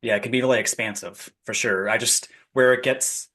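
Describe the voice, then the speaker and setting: A man's voice: medium pitch, fairly even intonation, a little fast, pushed and bright. A man hosting a casual spiritual interview podcast, enthusiastic, laughing often and repeating his affirmations. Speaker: podcast host.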